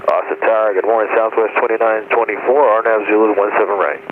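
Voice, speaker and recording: Very fast male pilot over tinny radio. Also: fairly low pitch, steady tone. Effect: aviation radio. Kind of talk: clipped radio readbacks. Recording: tinny, noisy aviation radio transmission.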